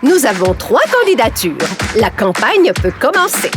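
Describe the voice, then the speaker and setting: A woman's voice: a little high, big pitch swings, moderate pace. A woman voicing a bossy, fussy adult campus director in a dubbed cartoon, speaking in a theatrical, pompous way. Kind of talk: cartoon dubbing. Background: music.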